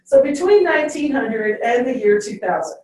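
A woman's voice: sits high, lively intonation, normal speed, darkish tone. A woman teacher giving a classroom lecture, checking in with "okay?" after each point. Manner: ends phrases with "okay?". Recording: very echoey classroom, distant mic.

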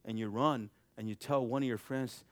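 A young adult man preaching a sermon, swinging from loud, shouted exhortation to quiet, intimate passages. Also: mid-range voice, steady tone, moderate pace, dry recording.

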